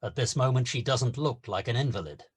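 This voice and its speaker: A man narrating the stage directions of a screenplay at a table read, steady and descriptive. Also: mid-range voice, a little fast, husky, no echo.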